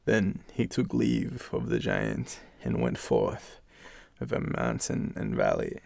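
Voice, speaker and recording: An Australian man reading a bedtime fairytale in an informal, relaxed way as a storyteller. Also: extremely low pitch, slow, vocal fry, dry recording, clean studio sound.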